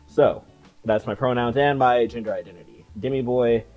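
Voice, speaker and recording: A person in their late twenties chatting casually to camera in a vlog, relaxed and full of fillers and soft laughs, on a headset mic in a small room. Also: mid-range voice, moderate pace, muffled recording, faint music behind. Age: late 20s. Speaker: video creator. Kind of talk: casual talking-head vlog. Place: small cluttered room indoors.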